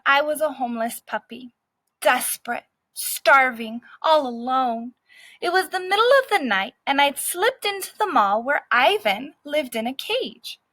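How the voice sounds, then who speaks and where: A woman's voice: sits high, sharp tone, no room sound, exaggerated voice, low-quality recording. A woman voicing a scrappy, streetwise male dog who narrates his own story in a brash, cocky way.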